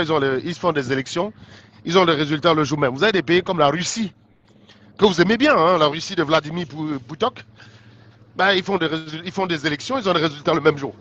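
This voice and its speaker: Man with a stuffy cold, indignant commentary, higher voice, dull recording. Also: fairly bright tone, slight background noise. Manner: indignant, rhetorical, many restarts. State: has a head cold. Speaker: political commentator. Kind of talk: casual monologue to followers.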